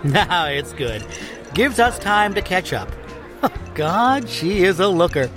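An actor playing a smarmy, leering business magnate in an audio drama, with an unctuous drawl. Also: male voice, sits high, sing-song, takes it slowly, over music, full character voice.

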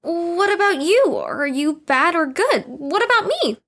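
An actress playing a naive, earnest young student in an audio drama, rambling in simple words. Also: female voice, very high-pitched, expressive, a little slow, a bit bright, exaggerated voice. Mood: curious.

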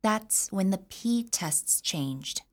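A woman's voice: medium pitch, animated, very slow. An actress playing a woman prisoner who recounts events in a dry, matter-of-fact way. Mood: wry.

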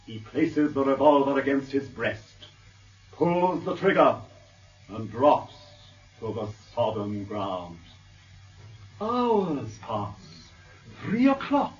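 An actor playing an eloquent defence barrister, declaiming a grandiloquent, theatrical courtroom oration in a radio drama. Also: male voice, a little high. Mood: melodramatic, then suspenseful narrating. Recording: muffled, distant radio drama recording.

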